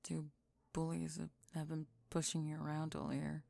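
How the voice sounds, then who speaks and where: A woman playing a cool, blunt teenage bully girl with a wry, deadpan, teasing manner in an ASMR audio roleplay. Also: low voice, normal speed, creaky, no room sound. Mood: sincere.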